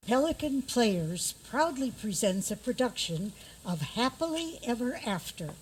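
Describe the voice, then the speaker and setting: A woman's voice: on the high side, very animated, measured pace, over music, rough recording. A woman narrating a scripted fairy tale introduction for a radio theater play. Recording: faint background noise.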